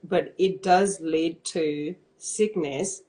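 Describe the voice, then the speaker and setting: A woman hosting a live-stream interview, speaking softly in an effusive, affirming way. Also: low-pitched, fairly even intonation, drawn-out words, crowd noise. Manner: effusive, affirming, soft-spoken. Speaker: host, interviewer.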